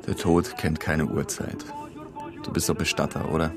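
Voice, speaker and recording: Deep, gravelly, blunt comrade. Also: male voice, level tone, moderate pace, heavy background noise. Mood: grim, dry. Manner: terse, dry, colloquial. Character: blunt, gloomy working-class male comrade bearing bad news. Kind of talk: radio crime drama acting.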